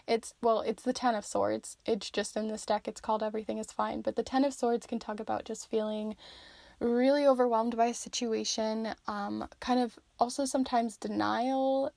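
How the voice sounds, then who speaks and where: Casting note: young female tarot reader, slightly high, gravelly and hoarse, chatty with lots of fillers, dry close sound. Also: dry recording. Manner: chatty, filler-heavy, warm encouragement. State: losing her voice. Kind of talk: casual tarot reading to camera.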